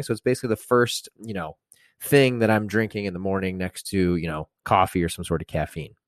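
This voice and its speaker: A man's voice: lowish voice, no echo, studio quality. A man hosting his podcast in a loose, upbeat way, reading a sponsor spot and introducing a guest with casual fillers. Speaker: podcast host.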